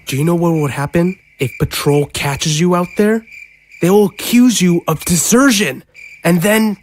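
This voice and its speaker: A woman as a stern, low-voiced young sentry. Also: moderate pace, a little mellow, a little hiss or hum, natural voice. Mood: scolding. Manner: scolding, curt. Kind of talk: audio drama acting.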